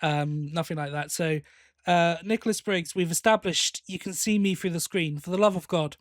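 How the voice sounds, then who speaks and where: A man with a slightly high voice talks as a podcast co-host in a close, dry recording. His delivery is casual review chat, with halting phrases that he restarts and corrects. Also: dry recording.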